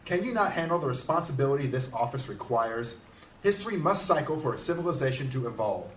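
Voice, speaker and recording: A man acting a stern, philosophical mage in a fantasy audio drama, speaking in a measured, formal, theatrical way. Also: mid-range voice, big pitch swings, close to an everyday voice. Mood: challenging. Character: stern, philosophical male blue mage, detached and challenging. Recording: roomy, distant mic with faint noise.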